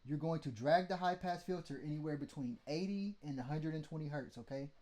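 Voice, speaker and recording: Mid-range male tutorial host, slightly high, laid-back and profane. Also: brisk, darkish tone, slight background noise. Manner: loose and blunt, swears freely, lots of "like" and "okay?". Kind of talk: casual how-to teaching.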